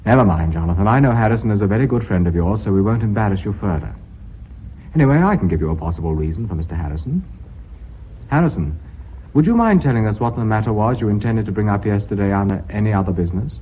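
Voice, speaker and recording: An actor in a radio drama playing a brisk, commanding company chairman who probes insistently. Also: on the low side, lively intonation, slight background noise, close to an everyday voice. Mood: probing. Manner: authoritative, probing, insistent. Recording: dull radio recording.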